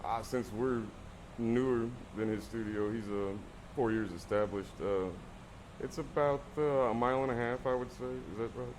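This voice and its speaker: A tattoo artist, a man, talks in a quiet, laid-back way and answers back without raising his voice. His pitch sits in an ordinary middle range. Steady noise is heard behind him. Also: moderate pace. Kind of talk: documentary interview. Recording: noisy background.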